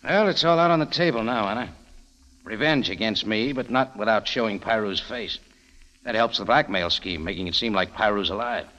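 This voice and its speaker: An actor playing a tough, quick-witted adventurer who narrates his own story in a wry, clipped way, in old radio drama style. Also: lowish voice, fairly bright tone, lo-fi sound, close to an everyday voice. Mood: wry, probing. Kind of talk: 1940s-50s radio drama acting. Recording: old radio recording, reverberant, music behind.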